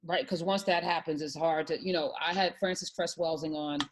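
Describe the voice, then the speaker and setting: A woman's voice: low-pitched, fairly even intonation, moderate pace, a little hiss or hum. A woman hosting a talk show, speaking with emphatic, passionate conviction in casual political discussion.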